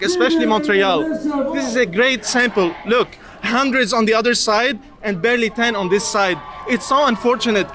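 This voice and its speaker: A man from Lebanon giving a heated, insistent street interview at a protest. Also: very high-pitched. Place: outdoors at a protest. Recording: loud crowd noise outdoors. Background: loud crowd.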